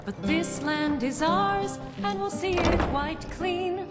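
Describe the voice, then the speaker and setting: An actress playing a proud female bard, singing a slow patriotic ballad in a fantasy video game. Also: extremely high pitch. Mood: solemn, defiant. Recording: long reverb, loud music.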